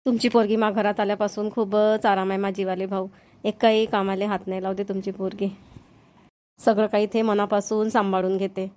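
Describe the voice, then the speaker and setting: A woman's voice: medium pitch, little pitch movement, normal speed, a little mellow, lightly acted. A woman playing a kindly, talkative older mother-in-law in a village cartoon, with a rural Maharashtrian Marathi accent and homely manner. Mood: fond, praising. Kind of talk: Marathi cartoon family comedy voice-over.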